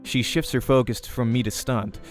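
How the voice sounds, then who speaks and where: A man hosts a visual novel playthrough, reading the narration aloud like a storyteller. His voice sits at a medium pitch, and music plays in the background. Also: fairly even intonation, normal speed. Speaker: let's play host and reader.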